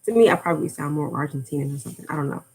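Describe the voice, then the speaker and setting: Low-voiced rambling American woman. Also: very fast, creaky, husky. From United States. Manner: rambling, drifts off topic, frequent fillers. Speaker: language-learning YouTuber. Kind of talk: casual livestream chat.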